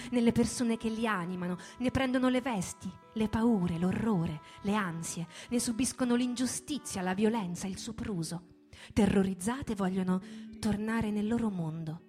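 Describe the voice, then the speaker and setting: Female stage narrator, dramatic literary monologue, grave deliberate phrasing, soft instrumental bed behind. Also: medium pitch. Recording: music bed under the voice. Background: guitar music.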